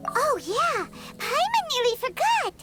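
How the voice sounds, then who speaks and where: An extremely high, squeaky little fairy for a video game. She is childlike, chirpy and nosy, with swooping excitable intonation over loud music. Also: measured pace, exaggerated voice. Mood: curious. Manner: squeaky, refers to self by name. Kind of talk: scripted video game dialogue.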